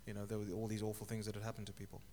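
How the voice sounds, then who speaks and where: Middle-aged guy from zimbabwe telling his story, low voice, lots of um and restarts, echoey hall, bit of hiss. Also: monotone, dark tone. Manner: thoughtful, halting, many fillers and restarts. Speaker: guest. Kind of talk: reflective interview storytelling. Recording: echoey hall with faint noise.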